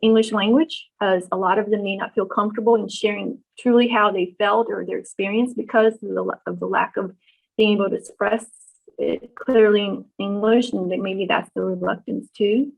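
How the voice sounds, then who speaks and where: A middle-aged woman born in Vietnam, answering questions in an oral history interview, pausing often with ums and restarts. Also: mid-range voice, fairly even intonation, gravelly. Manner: thoughtful, many ums and restarts. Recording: dull lo-fi recording.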